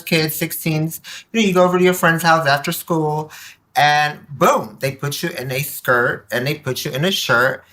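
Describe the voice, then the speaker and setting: A man speaking as a podcast guest, telling personal stories casually, acting out bits of dialogue and tossing in rhetorical questions. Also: a little high. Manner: animated retelling with quoted dialogue and rhetorical questions. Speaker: podcast guest, community activist.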